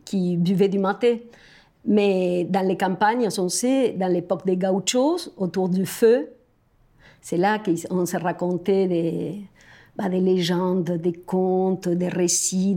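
Slow, mellow woman with an Argentine accent. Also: on the low side. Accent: Argentine Spanish. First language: Spanish. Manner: hesitant, searching for words. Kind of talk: personal reflective talk to a companion.

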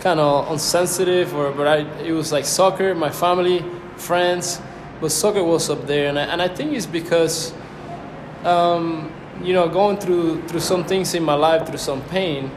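A fairly high-voiced young man from Cuba, Spanish his first language, speaking slowly and thoughtfully as he feels for the right words. The room rings around him. A steady hiss of background noise sits under his voice. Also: roomy sound. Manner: reflective, searching for words. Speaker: guest. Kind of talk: casual conversation.

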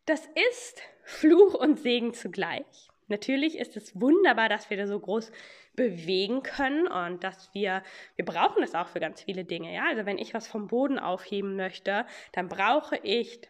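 A young woman who hosts a podcast and teaches yoga, talking in a relaxed way with a slight cold and often asking "ja?" as she explains. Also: mid-range voice, normal speed. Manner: chatty, many fillers, explains with rhetorical "ja?". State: has a cold.

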